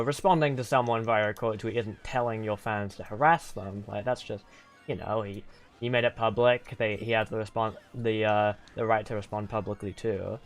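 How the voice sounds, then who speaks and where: A young man streaming and chatting casually with viewers, rambling with lots of "like" and restarts, venting at times. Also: medium pitch. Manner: venting, exasperated. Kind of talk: casual livestream chat with viewers. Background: faint game music.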